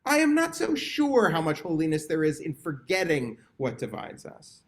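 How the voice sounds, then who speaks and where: A man leading a prayer service, giving a reflective, hesitant sermon full of pauses and restarts. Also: on the high side, huge pitch swings. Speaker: service leader.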